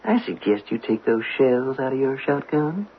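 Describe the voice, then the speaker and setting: A man's voice: medium pitch, sing-song, moderate pace, breathy edge. A man in a radio drama playing a suspicious, drily threatening American outlaw who hedges as he talks. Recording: thin phone-like old radio.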